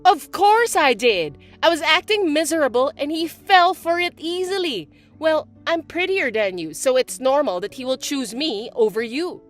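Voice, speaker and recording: A woman voicing a bratty, spiteful young stepsister who talks in a shrill, mocking, bossy way. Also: lively intonation, normal speed, faint music behind. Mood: gloating. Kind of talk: voiced text-message drama.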